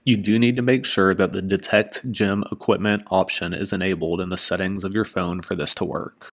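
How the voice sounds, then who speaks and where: A man reading a scripted product review as host, in a measured, informative way. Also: deep voice, fairly even intonation, gravelly.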